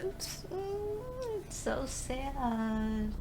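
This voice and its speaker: A woman giving casual, informal let's play commentary as a gaming streamer, reacting in a soft-hearted way. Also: sits high, sing-song, drawn-out words. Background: game music.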